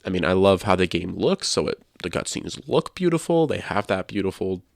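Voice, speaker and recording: A man's voice: low-pitched, very animated, brisk, vocal fry, dry recording, studio quality. A man hosting a podcast, sharing his opinions in casual discussion. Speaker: podcast host.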